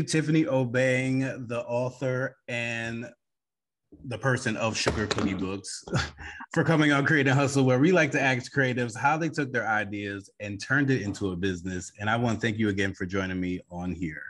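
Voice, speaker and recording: A man's voice: mid-range voice, moderate pace, fairly bright tone. A man casually hosting an interview show and putting questions to his guest.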